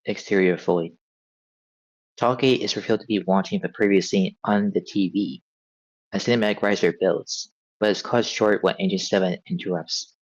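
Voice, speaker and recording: Low guy narrating stage directions, dry, bit muffled. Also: fairly even intonation, moderate pace. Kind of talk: scripted narration at a live table read.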